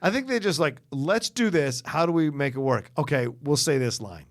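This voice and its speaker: A man's voice: on the high side, lively intonation, moderate pace. A man co-hosting a casual chat, speaking emphatically and swearing freely. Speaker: co-host of a movie list show.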